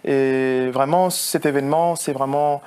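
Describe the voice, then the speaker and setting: A man from France, a film producer, answering a TV interview in an earnest, halting way. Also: mid-range voice, fairly even intonation, darkish tone, clear, resonant tone. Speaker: film producer and actor, guest. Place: TV studio.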